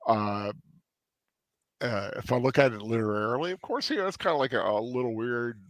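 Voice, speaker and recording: A man co-hosting a casual review chat, tired and sore, speaking haltingly with many restarts. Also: medium pitch, lively intonation, no echo. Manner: halting, many restarts and fillers, laughs easily. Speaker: co-host.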